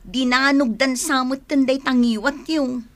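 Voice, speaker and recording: High-voiced, fretful young woman. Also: steady tone, a little slow, fairly bright tone. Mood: worried, chiding. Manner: fretful, chiding. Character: concerned younger woman chiding an injured old man. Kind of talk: Ilocano radio drama acting.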